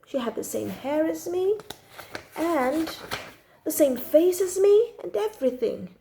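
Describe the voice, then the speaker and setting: A woman's voice: extremely high pitch, lively intonation, measured pace, creaky, warm tone, a little hiss or hum. A woman reading a children's story aloud expressively, drawing out key words for emphasis.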